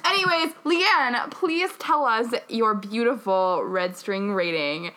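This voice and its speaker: A woman's voice: on the high side, lively intonation, takes it slowly, sharp tone, rough recording. A woman from Massachusetts with a New England accent, hosting a casual podcast chat, blunt and swearing freely. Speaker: podcast host.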